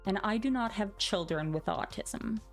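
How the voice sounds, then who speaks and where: A woman in her forties reads posts aloud and comments on them with theatrical sarcasm. Her pitch sits in an ordinary range, and faint music plays behind her. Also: expressive, moderate pace. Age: 40s. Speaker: video host. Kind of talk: dramatic reading of online posts with commentary.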